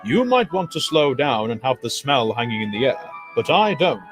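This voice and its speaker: A man's voice: mid-range voice, sing-song, moderate pace, over music. A man voicing a big, proud male steam engine who grumbles and sulks, for a storybook reading. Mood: impatient. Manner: grumbling, sulky.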